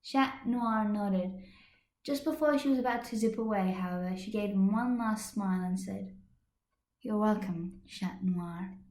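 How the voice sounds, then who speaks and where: A woman's voice: medium pitch, moderate pace, darkish tone. A woman reading fan fiction expressively as an amateur narrator, recorded on a computer microphone. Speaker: amateur podfic reader. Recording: echoey room, computer microphone.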